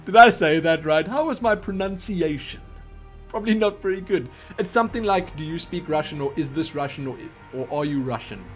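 A young man in his twenties with a South African English accent, answering viewer questions in a chatty, animated way from a small home room. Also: sits high. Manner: animated, chatty, theatrical asides. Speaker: YouTube gaming host. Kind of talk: casual Q&A vlog.

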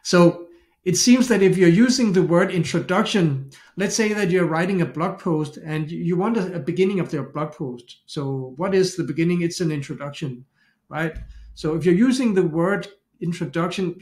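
A man's voice: a little high, darkish tone. A middle-aged man with a Danish accent, chatting through a screen-share tutorial and thinking aloud with frequent restarts. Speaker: SEO tutorial host. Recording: echoey room.

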